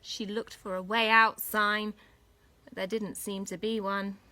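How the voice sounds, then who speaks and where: A woman reading a children's story expressively as a school storyteller, with dramatic, deliberate phrasing for young listeners. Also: mid-range voice, little pitch movement, bright, forceful tone.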